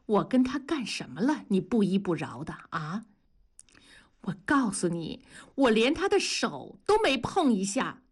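A woman's voice: medium pitch, sing-song, a little slow, slightly rough. A woman voicing a hot-tempered husband in an audiobook, indignant, defensive and blustering. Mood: exasperated. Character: hot-tempered husband, an indignant adult man, shouting back. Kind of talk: audiobook character dialogue.

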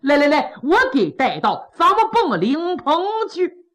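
A woman voicing a shrewd old male minister who talks in a loud, brisk, bluff way. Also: sits high, very animated, pitched above the performer's own voice, full character voice. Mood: hearty.

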